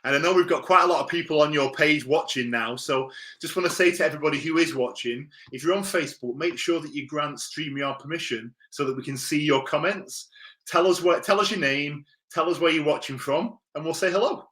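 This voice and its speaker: A man's voice: on the high side, fairly quick, fairly bright tone. A man hosting a live-streamed interview, chatty and upbeat, who laughs often. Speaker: podcast host. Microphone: video call.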